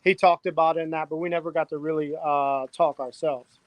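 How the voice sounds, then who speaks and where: Chill dude talking up his crypto project, kinda high voice, dry sound. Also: level tone, fairly quick, no room sound, lo-fi sound. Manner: laid-back, keeps saying "man". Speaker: crypto project team member. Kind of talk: casual livestream chat.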